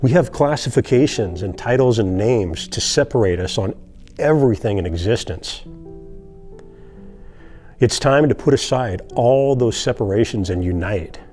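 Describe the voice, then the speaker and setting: A man delivering an impassioned spoken monologue, building his points through repetition and slow, emphatic pauses. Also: fairly low pitch, expressive, a little mellow, faint music behind. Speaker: online video essayist.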